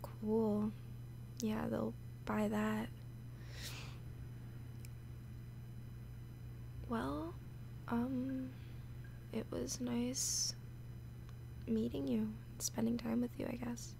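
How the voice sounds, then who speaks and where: A woman playing a nervous, awkward, obsessively lovesick young woman in an intimate audio roleplay, halting and often slipping into whispers. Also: a little high, steady tone, creaky, noisy background, heavily acted. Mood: subdued, apologetic. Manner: halting, hesitant, breaking into whispers.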